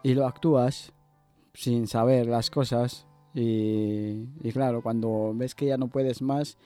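A man from Ecuador speaks slowly and hesitantly as an interview guest. His voice is very dark and soft-edged, giving a personal testimony. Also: mid-range voice, fairly even intonation, soft background music. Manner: hesitant, halting.

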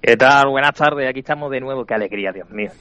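A man's voice: mid-range voice, fast talker, bright, forceful tone, dry recording. A man from Spain co-hosting a podcast, chatting casually with joking, mock-sentimental banter. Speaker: podcast co-host. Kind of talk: casual chat.

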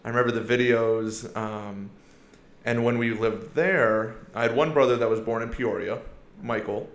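A man's voice: medium pitch, fairly even intonation, normal speed, roomy sound. A young man in his twenties, a podcast guest, telling stories in casual chat with lots of energy, laughing often and firing off rhetorical questions. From born in South Korea, raised in Iowa and Asia.

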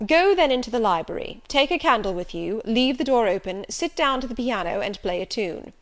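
A woman reading the lines of an imperious, brusque gentleman who questions and gives orders in a sardonic, commanding manner. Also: on the high side, lively intonation, fairly quick, natural voice.

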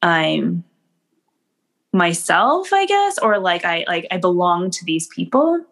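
A woman's voice: fairly low pitch, sing-song, slow pace, fairly bright tone. A woman from the United States in casual conversation, chatty and full of fillers, laughing often.

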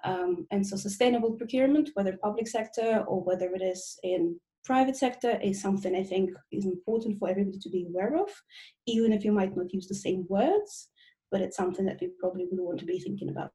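A woman's voice: medium pitch, animated, fast talker, mellow, rough recording. A woman from Russia, a consultant, giving long, fluent podcast interview answers with frequent "um" fillers. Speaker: guest, procurement and sustainability consultant.

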